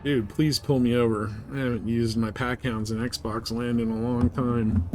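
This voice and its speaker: A man's voice: mid-range voice, little pitch movement, normal speed, background noise. A man giving casual, slangy live commentary as he streams a video game. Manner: informal, slangy.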